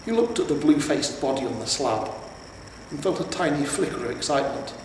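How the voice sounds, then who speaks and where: A man narrates a story in a measured, pausing delivery. His voice is on the higher side, heard from a distance in a very reverberant room with faint noise. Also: expressive. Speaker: amateur audiobook reader. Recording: roomy, echoing, distant mic with noise.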